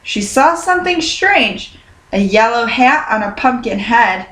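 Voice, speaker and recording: A woman reading a children's picture book aloud in a careful, expressive storytelling manner. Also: on the high side, measured pace. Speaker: storybook reader. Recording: roomy, echoing sound.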